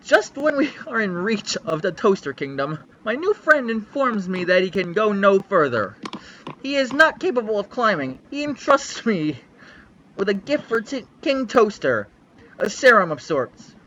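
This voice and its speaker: A man's voice: high voice, big pitch swings, moderate pace, sharp tone, lo-fi sound, a little hiss or hum. Young man doing a theatrical reading. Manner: theatrical, sweeping storyteller delivery. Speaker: reader on a livestream. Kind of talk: mock-dramatic reading of a fanfic.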